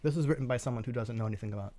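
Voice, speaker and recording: A man's voice: medium pitch, rapid-fire, mellow, no echo. A man co-hosting a live stream, giving casual, opinionated takes on gaming news in a sardonic, sweary way.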